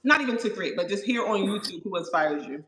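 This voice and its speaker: A woman's voice: medium pitch, expressive, fast talker, fairly bright tone, slight background noise. A woman hosting a casual live stream, agreeing often and putting questions to her guest and viewers. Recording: echoey room.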